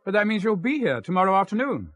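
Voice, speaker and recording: A man's voice: high-pitched, big pitch swings, fast, a bit bright, no room sound, exaggerated voice. An actor playing an evasive, apologetic, strained married man in a radio drama, with an English accent and a polite, hesitant, clipped manner. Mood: flustered, defensive. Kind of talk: 1970s radio drama acting.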